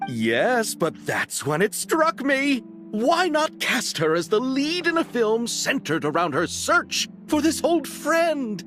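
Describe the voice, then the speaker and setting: Game role: romantic gushing director, very lively intonation, light high voice, music behind. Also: male voice, takes it slowly, hardly any pauses, exaggerated voice. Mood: eager. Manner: theatrical, effusive, courtly. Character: Xavier, flamboyant, earnest, romantic male film director. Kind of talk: animated video game voice acting.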